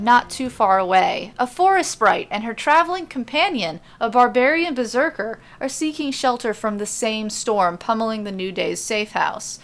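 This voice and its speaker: A woman hosting a storytelling podcast, speaking in a self-deprecating, dryly humorous way. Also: on the high side. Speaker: podcast host and writer. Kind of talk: casual rambling podcast intro, then audiobook-style narration.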